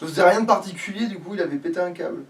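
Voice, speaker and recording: A man's voice: high voice, rapid-fire. A man giving casual, sweary, grumbling commentary while playing a video game. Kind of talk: casual let's play commentary. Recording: distant mic, faint background noise.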